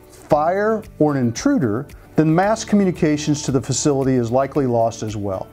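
An American man in his fifties giving a scripted product presentation as a company spokesperson, in a measured, businesslike manner. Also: on the high side, dark tone. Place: office conference room, indoors. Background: background music.

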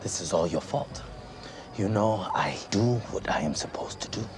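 An actor playing an undercover cop faking a pompous foreign prince, speaking in a lowered, haughty put-on voice that bursts into shouting. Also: male voice, expressive, normal speed, music in the background. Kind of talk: film comedy acting. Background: film score.

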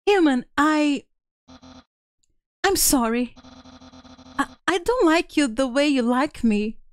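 A woman's voice: sits high, animated, slow, no room sound, clean studio sound. A woman voicing a vain, earnest male skeleton from a video game in a pompous, melodramatic manner. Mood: dramatic, earnest. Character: vain, earnest, theatrical male skeleton, bombastically self-confident. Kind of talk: dubbing video game dialogue on a livestream.

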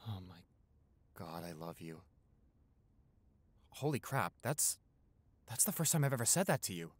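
A man's voice: on the low side, big pitch swings, a little slow. A young man playing a tender, playful, smitten new boyfriend in an intimate roleplay, talking in a conversational, hesitant, affectionate way. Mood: smitten, earnest. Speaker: roleplay audio performer.

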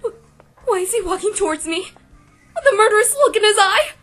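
A woman's voice: very high-pitched, brisk, faint music behind. A woman voicing an earnest, curious, jumpy young woman who acts and narrates in a webcomic dub. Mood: panicked. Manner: earnest, expressive. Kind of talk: dubbed webcomic acting and narration.

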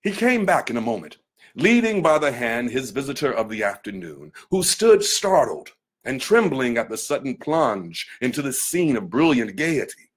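A man giving a dramatic literary reading in a theatrical, deliberate manner with weighty pauses. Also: medium pitch, big pitch swings, slightly rough.

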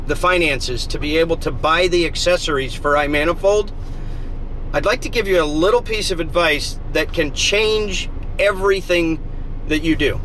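A man's voice: fairly high pitch, low-quality recording. A man in his thirties, an HVAC technician, talking earnestly and instructively to a dashboard camera while driving his work van. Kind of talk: casual explanatory talk to camera. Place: inside a moving work van. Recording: loud road noise in a van.

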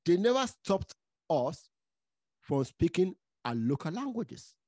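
A man's voice: high voice, sing-song, slow, darkish tone, dry recording, studio quality. A man from Nigeria whose first language is Igbo, hosting a podcast, who interrupts, repeats words and keeps checking with "okay?". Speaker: podcast host.

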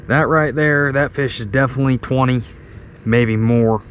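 A man's voice: mid-range voice, fairly even intonation, moderate pace, fairly bright tone, highs cut off, a little hiss or hum. A man giving casual live fishing commentary to viewers, marveling and repeating his phrases. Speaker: fishing vlogger. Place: outdoors on a kayak on a creek.

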